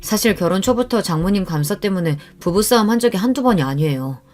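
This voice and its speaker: A woman's voice: medium pitch, animated, quick pace, darkish tone, faint music behind, lightly acted. A woman voicing an earnest, polite adult son who is weary of his marriage, in a radio-style story. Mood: weary resolve.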